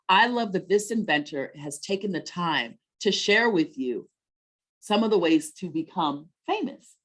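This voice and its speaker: A woman hosting a webinar for children, talking conversationally in an encouraging, teacher-like way with leading questions. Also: mid-range voice. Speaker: host of a children's story time webinar.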